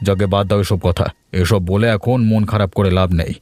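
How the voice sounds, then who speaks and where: A man's voice: on the low side, fairly even intonation, fairly quick, darkish tone, no room sound, natural voice. A man voicing a grumbling older head of a village household in a cartoon. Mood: bitter. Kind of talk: cartoon voice acting.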